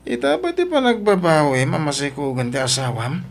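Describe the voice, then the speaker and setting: A man's voice: on the high side, wildly swooping pitch, fairly quick, creaky, full character voice. A man with a Filipino accent playing a concerned friend who questions and advises, in an Ilocano radio drama. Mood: concerned, admonishing.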